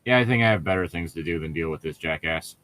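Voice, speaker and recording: A man's voice: low voice, quick pace, fairly bright tone, no echo. A man reading a story aloud as a co-host, breaking off for sarcastic, irritable, profane commentary and casual banter. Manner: sardonic, irritable, profane heckling.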